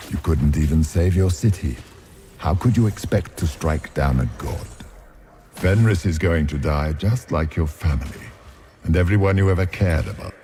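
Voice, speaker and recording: An actor playing a huge nightmare demon in a video game, taunting and grandiose with mock courtesy. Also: male voice, a bass voice, dark tone, a little hiss or hum, exaggerated voice. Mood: contemptuous. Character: huge male nightmare demon, sneering, menacing, condescending. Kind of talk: video game villain acting.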